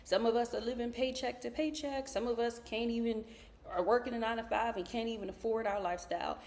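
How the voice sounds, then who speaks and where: A woman's voice: fairly high pitch, fairly even intonation, fast talker, a little mellow. Chatty woman telling a story-time review. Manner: chatty, upbeat, personal storytelling. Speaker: viewer giving a product review.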